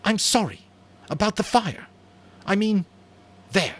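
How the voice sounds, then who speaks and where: A man voicing an arrogant, bullying boy who leads a group of hunters, sneering and loud, in a dramatic audiobook reading. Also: high-pitched, expressive, measured pace, pitched above the performer's own voice, full character voice. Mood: grudging.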